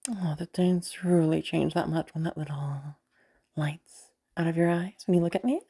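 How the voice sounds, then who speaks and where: A woman playing a cold, wry captive in an intimate audio roleplay, musing in a deadpan, hesitant way. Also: low voice, normal speed, breathy edge, pitched above the performer's own voice. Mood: wry, teasing.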